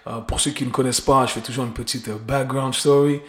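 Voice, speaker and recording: A man's voice: medium pitch, fairly even intonation, quick pace, fairly bright tone. A man, hosting a casual podcast and chatting loosely with English slang mixed in. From Geneva, Switzerland. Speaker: podcast host. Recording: roomy echo.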